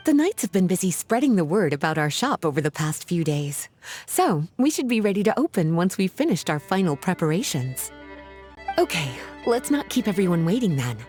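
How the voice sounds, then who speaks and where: A woman's voice: medium pitch, darkish tone, lightly acted. A woman voicing an elegant, teasing adult witch librarian in a video game, poised and coaxing. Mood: businesslike, warm. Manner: flirtatious, smooth-talking, poised. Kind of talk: video game character voice acting.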